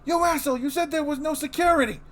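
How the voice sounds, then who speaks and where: A man's voice: very high voice, fast talker, fairly bright tone, pitched above the performer's own voice. A man voicing an impatient, hot-tempered crook who bullies his accomplice in a brusque, threatening way for a comic-book reading. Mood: furious.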